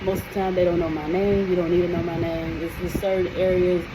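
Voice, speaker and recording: A woman's voice: lowish voice, flat delivery, fast talker. American woman, casual vlog advice, chatty and emphatic, air conditioner hum in the room. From United States. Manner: chatty, emphatic, often checks in with "okay?". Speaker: vlogger.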